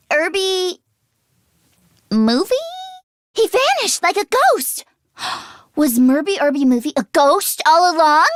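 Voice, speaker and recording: Game casting: girlish teen mechanic, very high-pitched, anxious yet determined, expressive, dry studio sound. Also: no room sound, heavily acted. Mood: puzzled, irritated. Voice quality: youthful, girlish. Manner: expressive, emphatic, exclamatory. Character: excitable teenage girl mechanic, anxious but determined. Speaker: video game voice actress. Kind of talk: animated video game character acting.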